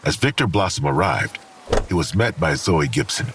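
A man with an American accent narrating a crime audio drama as a grave, detached storyteller in a measured way. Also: extremely low pitch, very animated, background noise. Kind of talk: scripted noir crime narration. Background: faint music.

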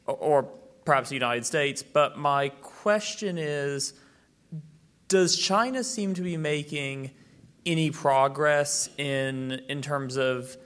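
A young man, a college student, asking a panel a question in careful, halting phrasing. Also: medium pitch, a bit bright, clean tone. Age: young adult. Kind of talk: public Q&A question.

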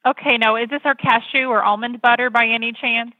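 A woman's voice: on the high side, fairly even intonation, fairly quick, dry recording. A woman in customer service speaking politely and patiently, explaining things over the telephone. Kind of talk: polite scripted phone service talk. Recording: thin, narrow telephone line.

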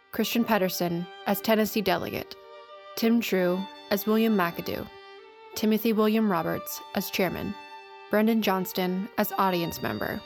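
Woman reading credits over music. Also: medium pitch. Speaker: credits announcer. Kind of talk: scripted credits read.

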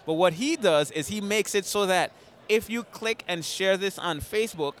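A man's voice: high-pitched, lively intonation, moderate pace, a bit bright. A man hosting a podcast, chatting casually and enthusiastically, explaining ideas with many fillers and restarts, his voice a little strained. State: slightly strained voice after an event. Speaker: podcast host. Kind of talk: casual conversation.

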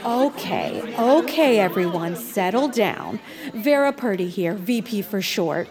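An actress playing an eager, self-promoting town politician in a family audio drama, with a bubbly, officious manner. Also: female voice, medium pitch, lively intonation, a little slow, a crowd in the background. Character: Vera Purdy, eager, self-promoting female town-council candidate creature. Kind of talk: family audio fiction acting.

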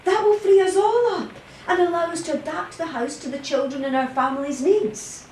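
A woman's voice: extremely high pitch, moderate pace, a little mellow. An actress playing an exasperated, outspoken mother in an audio play, with a blunt, insistent manner. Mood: frustrated, insistent. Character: exasperated, outspoken mother running a crowded household. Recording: echoey, distant, faint noise.

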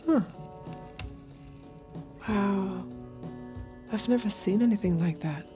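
A mysterious, seductive woman, played by an actress in an intimate audio drama. Her mid-pitched voice is terse and controlled, bold and commanding on a date. Music sits clearly behind her. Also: takes it slowly, close to an everyday voice. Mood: curious, wondering.

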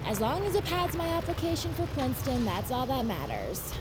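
A competitive, snippy young woman in an audio drama. Her voice is high and swoops around, with clear outdoor traffic noise behind it. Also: big pitch swings, a little fast. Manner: blunt, sarcastic, challenging. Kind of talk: naturalistic audio fiction acting.

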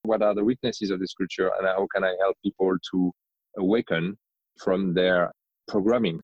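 A thoughtful executive from France speaks careful, slightly non-native English with a strong French accent. His voice sits low, and the remote recording sounds somewhat dull and dry, with little room sound. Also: level tone, normal speed, no echo. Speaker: guest, company executive. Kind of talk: podcast interview, remote call.

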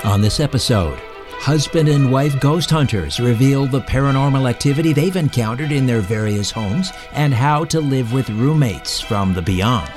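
A man's voice: mid-range voice, animated, moderate pace. Male host reading scripted copy over music. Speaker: podcast and radio host. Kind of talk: scripted narration and ad reads.

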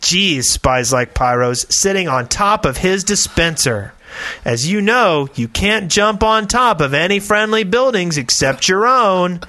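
A man's voice: high voice, animated, a little slow, pushed and bright. A man co-hosting a casual podcast, playfully theatrical as he chats and reads aloud. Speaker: podcast host. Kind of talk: casual chat and reading listener mail aloud.

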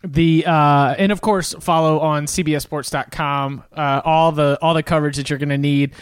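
A man's voice: on the high side, fairly even intonation, normal speed, smooth, no echo, clean studio sound. A man hosting a sports podcast in an upbeat, casual way, setting up topics for his co-host. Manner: upbeat, hypes the show.

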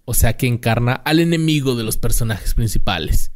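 A young Mexican man co-hosting a podcast, easygoing and full of slang. His voice sits at a middle pitch. He reads facts out loud, then drifts back into banter. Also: expressive, normal speed. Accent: Mexican Spanish. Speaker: podcast co-host. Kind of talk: casual chat.

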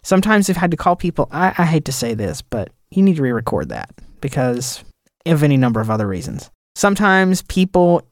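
A man's voice: a little high, big pitch swings, brisk, few pauses, dry recording. Rambling thoughtful man, dry close mic. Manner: rambling, thoughtful, trails off mid-sentence. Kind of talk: casual podcast chat.

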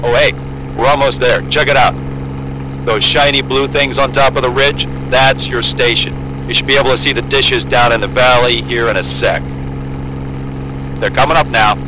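A man's voice: medium pitch, fairly even intonation, normal speed, natural voice. Wry helicopter pilot played by a man, engine roaring. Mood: friendly. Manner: plain-spoken, joking, laid-back. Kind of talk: naturalistic audio drama dialogue. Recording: loud engine hum of a helicopter cabin.

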